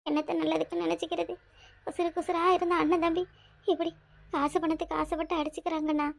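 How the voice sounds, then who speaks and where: A woman's voice: sits high, completely flat delivery, normal speed, lightly acted. A woman playing a weary, anxious elderly mother-in-law in a village family drama, subdued and plaintive. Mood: dejected. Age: older. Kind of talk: Tamil family drama dialogue.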